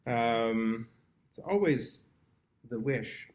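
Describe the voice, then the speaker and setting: A man leading a prayer service, giving a reflective, hesitant sermon full of pauses and restarts. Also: medium pitch, expressive, a little mellow. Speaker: service leader.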